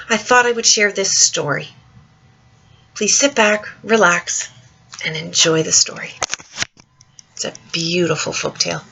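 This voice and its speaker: Husky, animated woman reading a storybook. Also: mid-range voice, highs cut off. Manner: animated, dramatic, addressing young listeners directly. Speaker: storyteller reading a picture book to children. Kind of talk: expressive children's storybook read-aloud.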